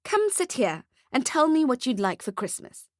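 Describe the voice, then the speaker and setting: A woman puts on a weary man working as a mall Santa in a storybook. He strains to stay polite and sounds irritated, with pitch pushed up. The recording is dry. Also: big pitch swings, normal speed, no echo. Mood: forced cheer. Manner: forced politeness breaking into irritation.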